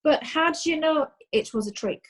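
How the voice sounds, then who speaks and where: Brisk courtroom lawyer female actor, comic stage-style drama; formal questioning, slightly raised pitch. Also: animated. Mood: formal, probing. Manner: formal, inquisitive. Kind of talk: comic courtroom play acting.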